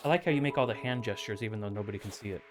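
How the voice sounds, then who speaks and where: A woman's voice: very deep voice, rapid-fire. A woman co-hosting a let's play with short, dry, teasing remarks in casual chat. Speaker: co-host of a gaming channel. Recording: music over the voice. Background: game soundtrack music.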